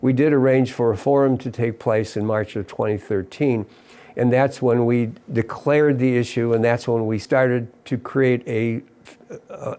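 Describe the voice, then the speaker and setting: A middle-aged man from Ohio, a mayor giving an earnest, measured statement to camera with pauses and small restarts. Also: medium pitch, warm tone. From Ohio, USA. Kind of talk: prepared civic statement to camera.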